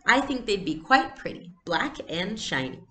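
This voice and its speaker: A woman's voice: medium pitch, sing-song, moderate pace, sharp tone, slight background noise, pitched above the performer's own voice. A woman voicing a sophisticated, helpful young girl in a storybook, with a genteel, upbeat, persuasive way of talking. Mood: reassuring.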